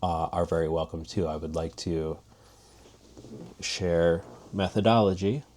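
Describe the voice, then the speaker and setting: Casting: man with a low voice, teacher type, chatty and demonstrative, lots of restarts. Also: fairly even intonation, a little slow, darkish tone, slight background noise. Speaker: language teacher. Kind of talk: casual spoken lesson.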